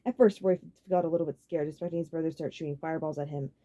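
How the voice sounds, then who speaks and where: A woman reading a story aloud as an audiobook narrator, in an expressive, dramatic style. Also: low voice, racing.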